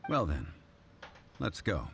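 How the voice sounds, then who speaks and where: An actor playing a calm, dignified male consultant who speaks in a measured, formal way, in a video game. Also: deep voice, creaky, slight background noise, full character voice. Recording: game audio with music.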